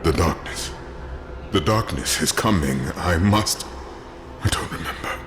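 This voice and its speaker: A man voicing a warrior with no memory who speaks in halting, grave fragments, in a video game. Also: low-pitched, noisy background. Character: confused male warrior who lost his memory.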